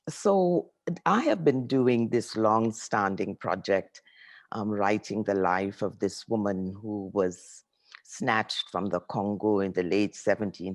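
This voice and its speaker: An older woman from Trinidad, a professor and writer, telling her story in an interview in a reflective, unhurried way, repeating phrases for emphasis. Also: extremely low pitch. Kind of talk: conversational storytelling.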